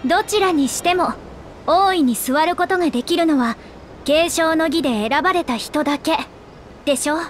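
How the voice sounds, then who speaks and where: A woman voicing a small, clever young girl scholar in a Japanese video game, calm and precise in her speech. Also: high-pitched, normal speed, slight background noise, lightly acted. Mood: cool, reproving.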